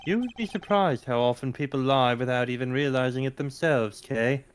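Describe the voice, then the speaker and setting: A man voicing a composed, formal investigator who questions patiently and in measured words, in a video game fan dub. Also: medium pitch, a little hiss or hum, natural voice. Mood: calm, reasoning.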